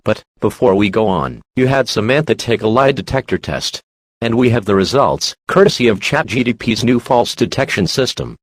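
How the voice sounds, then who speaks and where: A synthetic male voice playing a talk show host in a scripted tabloid parody, with a measured presenter delivery. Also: medium pitch, lively intonation, husky, no echo. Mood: presenting. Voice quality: synthetic text-to-speech voice.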